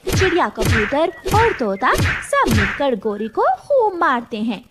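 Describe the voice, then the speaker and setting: A woman's voice: high voice, big pitch swings, slow pace, very noisy. A woman narrating a scripted children's story as its storyteller.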